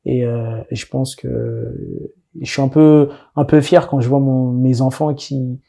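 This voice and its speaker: A man's voice: mid-range voice, steady tone, measured pace, vocal fry, dark tone. A man in his thirties from France, a guest talking casually in an interview with many restarts and fillers. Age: 30s.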